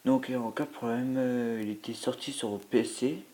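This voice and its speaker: A man's voice: medium pitch, steady tone, moderate pace, mellow. A man with a cold, tired after a sleepless night, giving rambling, hesitant commentary while playing a mobile game. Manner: rambling, hesitant, full of filler sounds. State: has a cold, stuffy. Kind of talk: gameplay commentary.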